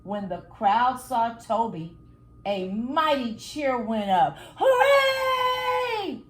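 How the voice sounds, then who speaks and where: A woman storyteller reading a children's book aloud in a high, very slow voice. Her phrasing is dramatic and lively, and the sound is echoey and roomy. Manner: deliberate, dramatic phrasing with acted sound words. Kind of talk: expressive picture-book read-aloud.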